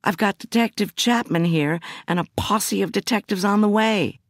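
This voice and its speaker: A woman's voice: mid-range voice, normal speed, no room sound, clean studio sound, pitched above the performer's own voice, lightly acted. A woman voicing a shaken, stubborn female prosecutor in an audiobook, insistent and clipped. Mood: indignant, insistent. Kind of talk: audiobook character dialogue.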